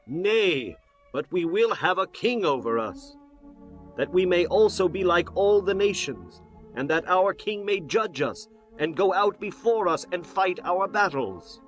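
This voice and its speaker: A man's voice: high-pitched, expressive, unhurried, fairly bright tone, faint music behind. A man playing an insistent, commanding Israelite, declaiming in a dramatized scripture reading. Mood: defiant.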